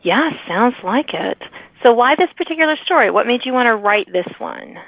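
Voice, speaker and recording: A woman's voice: a little high, expressive, normal speed, vocal fry, no echo, phone-quality sound. A woman hosting a podcast, interviewing a guest in a casual, conversational way. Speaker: podcast host interviewing authors.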